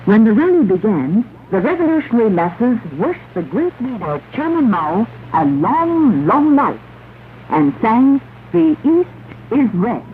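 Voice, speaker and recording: A woman's voice: a little high, very animated. Woman announcer on scratchy, tinny shortwave radio. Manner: formal, declamatory, deliberate phrasing. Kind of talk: 1960s propaganda radio news reading. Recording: scratchy 1968 shortwave radio, narrow band, hiss.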